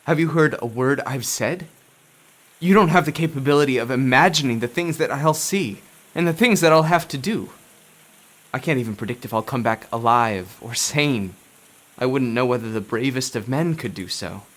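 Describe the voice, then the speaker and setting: A man's voice: mid-range voice, normal speed, fairly bright tone, lightly acted. Man playing Warren, a grandiose, obsessed occult scholar. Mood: condescending, resolute. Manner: grandiose, condescending, formal old-fashioned speech. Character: Warren, driven, condescending occult scholar obsessed with forbidden knowledge. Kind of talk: horror radio drama acting.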